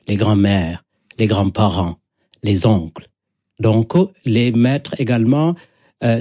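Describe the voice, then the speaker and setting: A man's voice: fairly low pitch, dark tone, husky, no echo, clean studio sound. A middle-aged man from Senegal with a Senegalese accent, a native Wolof speaker, talking reflectively as a storyteller being interviewed, in poetic, repetitive phrases.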